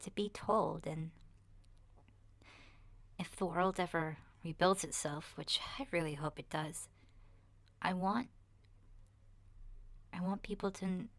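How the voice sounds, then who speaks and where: Woman voicing a slow, soft-spoken, shy young boy. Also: lowish voice, no echo. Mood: wistful, earnest. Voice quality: soft, breathy, often dropping to a whisper. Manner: hesitant, shy, rambling with sighs and self-corrections. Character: awkward, rambling ten-year-old boy, a zombie apocalypse survivor. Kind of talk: ASMR roleplay, soft-spoken recorded diary monologue.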